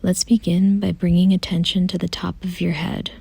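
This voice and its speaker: A woman softly guiding a meditation in a gentle, calm, unhurried way, often close to a whisper. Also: on the low side, no room sound. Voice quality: soft, breathy, often near a whisper. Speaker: meditation guide. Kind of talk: soothing guided meditation, scripted.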